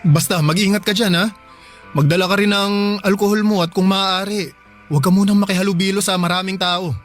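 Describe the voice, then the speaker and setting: A man acts as a protective Filipino husband in a radio drama, fretting and urging in a natural, conversational way. His voice sits a little high for a man. Soft music runs faintly behind him. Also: steady tone, a little fast, close to an everyday voice. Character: anxious, protective provincial husband on a phone call.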